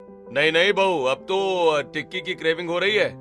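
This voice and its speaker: A man's voice: on the high side, normal speed, a bit bright, faint music behind, close to an everyday voice. A man playing a demanding, food-craving male elder of an Indian household in a story drama. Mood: insistent. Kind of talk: Hindi audio story dialogue acting.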